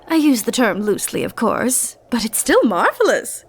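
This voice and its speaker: A woman playing an ancient, immortal giant being in an ASMR roleplay, flirtatious, condescending and dangerous, teasing with breathy whispers. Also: fairly high pitch, sing-song, normal speed, heavily acted. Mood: amused, haughty.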